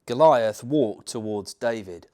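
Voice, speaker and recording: A man reading a children's bedtime story as a church minister, theatrical, with dramatic pauses and emphasis. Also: medium pitch, a little mellow.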